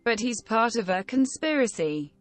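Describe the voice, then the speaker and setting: A woman's voice: a little high, expressive, moderate pace, soft background music, lightly acted. A woman playing a resolute, blunt adventurer in a fantasy game. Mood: resolute, questioning. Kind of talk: scripted fantasy game dialogue.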